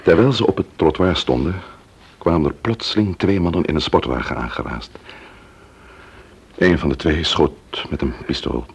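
An actor playing a sober, probing investigator in a Dutch radio play, with a measured, formal way of questioning. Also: male voice, a bass voice, gravelly, breathy edge, full character voice. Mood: sober, apologetic. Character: sober, probing male investigator, breaking grim news.

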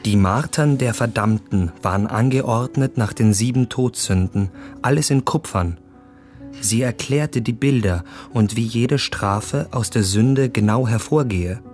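Actor as Andreas, reflective man, medium pitch. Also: measured pace, darkish tone, soft background music, lightly acted. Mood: reflective wonder. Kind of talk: lyrical literary radio narration.

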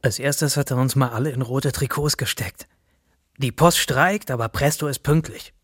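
A man's voice: medium pitch, moderate pace, a bit bright, slightly rough, no echo, clean studio sound. An actor playing a wry, colloquial courier employee narrating his workplace in a radio play. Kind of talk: scripted first-person narration in a radio play.

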